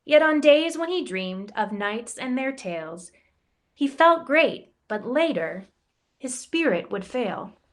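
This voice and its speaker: Slow, playful woman reading a rhyming storybook. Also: sits high. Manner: playful, expressive rhyming delivery with voiced quotes. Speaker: campus ministry director reading a picture book. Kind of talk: storybook read-aloud in rhyme.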